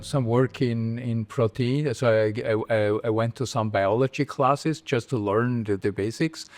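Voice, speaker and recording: A middle-aged Austrian man with a mid-pitched voice, speaking English as a second language. He talks casually as a podcast guest. He often stalls, repeats words and restarts his sentences. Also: darkish tone. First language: German. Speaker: guest, computer vision professor. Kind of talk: casual interview.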